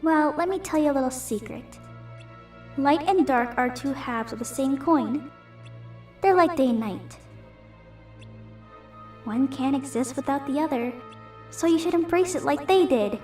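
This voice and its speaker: A woman in an amateur fandub voicing a smug, taunting and playful dark creature with a teasing, mock-sweet manner. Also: high voice, unhurried, long pauses. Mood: gleeful taunting. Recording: roomy home recording, distant mic, music behind.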